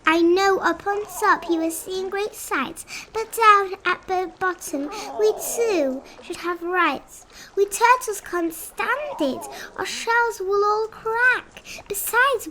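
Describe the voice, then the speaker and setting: A woman's voice: extremely high pitch, expressive, takes it slowly, few pauses, bright, forceful tone, a little hiss or hum, voice pushed up, heavily acted. A woman voicing a small, plain, suffering turtle in a storybook, polite and plaintive. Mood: pained, pleading. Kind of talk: storybook read-aloud character voice.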